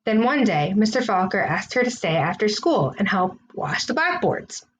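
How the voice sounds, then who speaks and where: A woman reading a children's storybook aloud, with expressive, deliberate phrasing. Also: mid-range voice, slight background noise, rough recording. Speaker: storybook reader.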